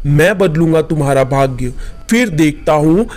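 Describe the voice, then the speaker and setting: A woman's voice: low-pitched, animated, moderate pace, darkish tone, pitched below the performer's own voice. A woman voicing a proud, wealthy landlord father in a moral storybook tale, stern and imperious as he questions and challenges. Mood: angry, threatening. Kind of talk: Hindi moral storybook narration.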